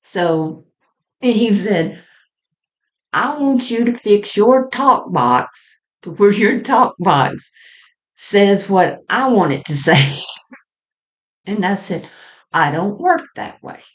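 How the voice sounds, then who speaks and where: A woman telling personal stories in a halting, conversational way with frequent small chuckles as she reminisces. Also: mid-range voice, lively intonation. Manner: halting and conversational, often chuckling mid-sentence. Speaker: storyteller sharing personal experience.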